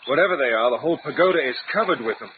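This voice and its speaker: Gentleman explorer for radio adventure, played by a man: high, animated, proper and cautious, on a tinny recording. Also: rapid-fire, lightly acted. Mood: curious. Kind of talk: old-time radio adventure acting. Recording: tinny band-limited radio drama, background noise.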